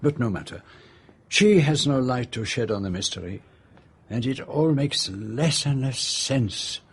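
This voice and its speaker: An actor playing a proud, middle-aged Belgian detective in a radio drama, with a French-speaking Belgian accent and a formal, deliberate manner. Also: male voice, medium pitch, expressive, slightly rough. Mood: thoughtful, probing. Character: precise, proud Belgian detective, brooding then urgent.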